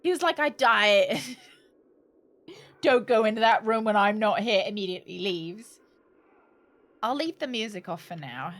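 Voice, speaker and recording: A woman's voice: mid-range voice, animated, measured pace, pauses often, a bit bright. A woman giving casual live commentary as she plays a game, with jokey, reactive asides. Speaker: livestreamer playing a horror adventure game.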